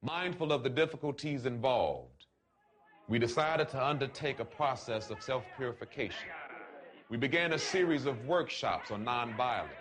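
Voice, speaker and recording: A man reads a written letter aloud in a solemn, oratorical way, with deliberate phrasing and emphatic pauses. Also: medium pitch, animated, a bit bright. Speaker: reader of a letter.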